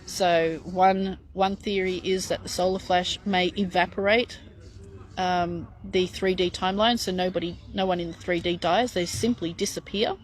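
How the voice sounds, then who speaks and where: A woman's voice: lowish voice, fairly even intonation, moderate pace. A middle-aged woman chatting frankly as she answers viewer questions, with lots of fillers and restarts. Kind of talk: casual live Q&A.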